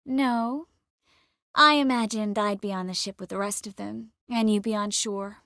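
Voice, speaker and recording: Game sorceress, woman actor: poised, warm-hearted, composed, wry teasing edge; mid-pitched, very dry studio sound. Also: steady tone, moderate pace, dry recording, natural voice. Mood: weary, frustrated. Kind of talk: scripted game character dialogue.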